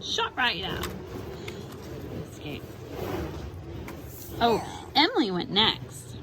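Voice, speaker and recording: A woman reading a picture book aloud to her small children as their mother, playfully exclaiming and chatting with them between lines in drawn-out, encouraging reactions. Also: a little high, wildly swooping pitch. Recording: noisy home recording with clear background noise.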